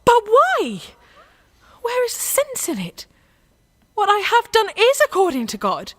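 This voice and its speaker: A woman's voice: very high-pitched, wildly swooping pitch, a little slow, a bit bright, full character voice. A woman playing a devout, headstrong young peasant warrior in a radio play, impassioned and plain-spoken. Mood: distressed, pleading.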